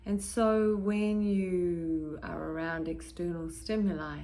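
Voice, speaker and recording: A woman guides a meditation in a fairly low voice, speaking very slowly. Her delivery is gentle and measured, with long pauses between short phrases and soft, breathy endings. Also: steady tone. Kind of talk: guided meditation, slow and soothing.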